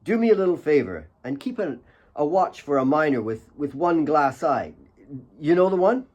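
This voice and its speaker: A man's voice: fairly high pitch, very animated, moderate pace, a little mellow, dull audio, voice pushed up. A man voicing a commanding, earnest young leader of mine workers, firm and direct, in an audiobook.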